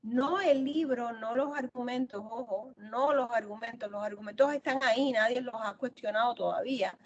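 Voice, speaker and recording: A woman's voice: on the high side, moderate pace, dull audio. A middle-aged woman from Cuba answering interview questions as an author, explaining at length and repeating phrases for emphasis.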